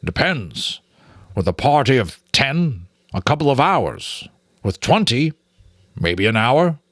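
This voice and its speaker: A man's voice: medium pitch, very animated, slow, fairly bright tone, no room sound, clean studio sound, voice pushed up, full character voice. A man voicing a practical, cautious working rat who speaks matter-of-factly in a storybook reading. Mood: cautious, concerned. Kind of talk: dramatic audiobook reading of a children's novel.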